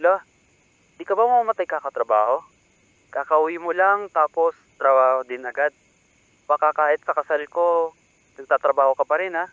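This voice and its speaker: Actor as tinny phone-call Filipino buddy. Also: male voice, a little high, moderate pace, pauses often, clear, resonant tone, no echo. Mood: teasing, complaining. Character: teasing, nagging young buddy on the phone. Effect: telephone filter. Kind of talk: radio drama acting. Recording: thin phone-line sound.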